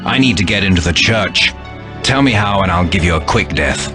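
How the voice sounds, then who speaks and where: An actor playing a tough young male commando who talks in curt, threatening lines, with a husky voice. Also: low voice, animated, moderate pace, over music.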